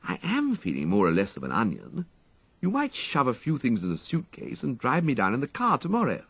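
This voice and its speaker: A man voicing a fretful, flighty young upper-class English gentleman who dithers and exclaims plaintively, in an audiobook story. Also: medium pitch, huge pitch swings, fairly quick, breathy edge, dry recording, pitched above the performer's own voice, full character voice. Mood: grudging, brightening. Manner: exclamatory, dithering, drawling dandyish complaint.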